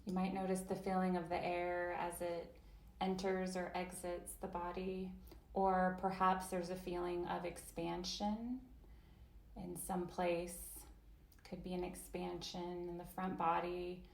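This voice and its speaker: A woman leads a gentle guided chair yoga and body scan session, offering every movement as a choice. Her pace is slow and unhurried, with long pauses between phrases, and her voice sits a little low. The recording has a noticeable roomy echo. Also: monotone, gravelly. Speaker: voice interpreter for a yoga teacher.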